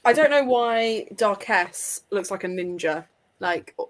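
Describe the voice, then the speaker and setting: A woman's voice: mid-range voice, expressive, slow pace. A woman in a casual book discussion, talking informally as one of a panel. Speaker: readalong panelist.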